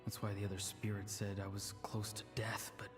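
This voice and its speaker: A man's voice: on the low side, monotone, brisk, lightly acted. An actor playing an earnest, melancholy young man in a video game who feels weak, speaking in a subdued, introspective way. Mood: brooding. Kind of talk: dramatic video game voice acting. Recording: reverberant game audio under clear music.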